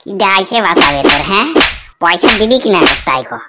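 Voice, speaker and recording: A woman's voice: fairly low pitch, lively intonation, a little fast, over loud music, lightly acted. A woman voicing an abusive, foul-mouthed bald drunkard of a husband in a cartoon comedy. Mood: angry bullying. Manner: threatening, swearing, demanding. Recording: very noisy, clicking background.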